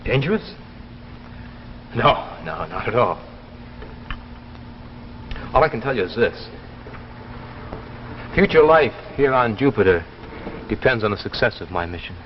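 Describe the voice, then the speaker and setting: An uneasy, apologetic husband in a 1950s sci-fi drama. He speaks haltingly and stammers a little, on a muffled, noisy recording with a long echo. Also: on the low side, lively intonation. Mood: guarded, earnest. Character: uneasy, apologetic husband recruited for a secret space mission. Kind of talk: 1950s television drama acting.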